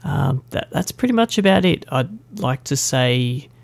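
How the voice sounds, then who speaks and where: A young man talks casually straight to the camera, rambling and poking fun at himself. His voice has a gravelly edge. The sound is close and dry, with no room echo. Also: medium pitch, lively intonation, unhurried. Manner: chatty and self-deprecating, with fillers. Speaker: meetup organiser. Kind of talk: casual vlog announcement.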